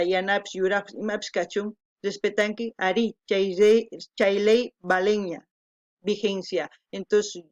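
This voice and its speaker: A woman's voice: mid-range voice, highs cut off. Earnest woman, Quechua speaker. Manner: earnest, thoughtful, switching between Spanish and Quechua. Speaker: reaction channel host. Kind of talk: casual reaction commentary.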